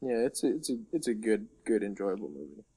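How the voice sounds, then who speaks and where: A man's voice: lowish voice, very animated, quick pace, dark tone, husky. A man co-hosting a podcast in casual chat, agreeable, chiming in with brief interjections. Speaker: podcast co-host.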